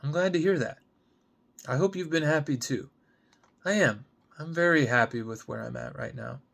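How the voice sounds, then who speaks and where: A man's voice: fairly high pitch, normal speed, vocal fry, fairly bright tone, pitched above the performer's own voice. A man voicing a guarded, hurt young woman in a dramatic screenplay reading, curt and exasperated. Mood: guarded, resigned.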